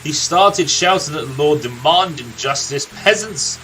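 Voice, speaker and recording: A man playing a gruff, irritable older villager in a video game, reading out a tale in a blunt storytelling manner. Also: a little high, lively intonation, a little slow, pushed and bright, a little hiss or hum, poor audio. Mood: dramatic storytelling.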